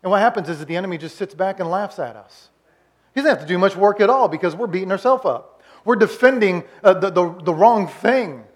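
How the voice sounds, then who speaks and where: A man's voice: high-pitched, expressive, a little fast. A man in his forties preaching a conversational sermon as pastor, often restarting and repeating words. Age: 40s. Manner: conversational, repeats words, puts on quoted voices. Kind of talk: sermon recap.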